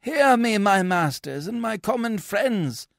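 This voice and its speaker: A man's voice: sits high, big pitch swings, a little slow, gravelly, fairly bright tone, no echo, clean studio sound, pitched above the performer's own voice, heavily acted. A man reading an earnest pleading Roman consul.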